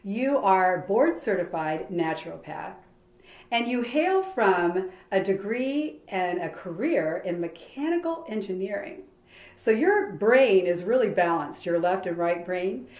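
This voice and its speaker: A woman's voice: medium pitch, expressive, measured pace, darkish tone. A woman hosting an interview, effusive and enthusiastic, heaping praise on her guest. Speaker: interview host. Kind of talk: casual interview chat. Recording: echoey room.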